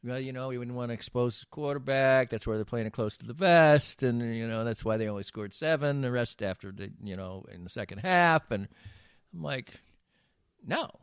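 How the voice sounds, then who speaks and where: A man's voice: medium pitch, normal speed, clean tone, no room sound, studio quality. An American man hosting casual sports banter, emphatic and opinionated in the way he talks. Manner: emphatic, opinionated, mockingly acts out imagined quotes. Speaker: sports talk show host.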